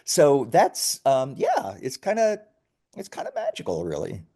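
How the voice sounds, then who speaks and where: A man's voice: medium pitch, huge pitch swings, a little slow, dark tone. A man speaking as an interviewed founder, explaining his product in an enthusiastic, emphatic, conversational way. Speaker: startup founder being interviewed.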